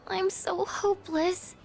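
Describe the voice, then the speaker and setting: A woman playing a shy, gentle, insecure teenage girl in a video game, with a hesitant, apologetic, stammering way of talking. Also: very high-pitched, steady tone, slow pace, gravelly, soft background music, heavily acted, low-quality recording. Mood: flustered, self-doubting. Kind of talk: video game character dialogue voice acting.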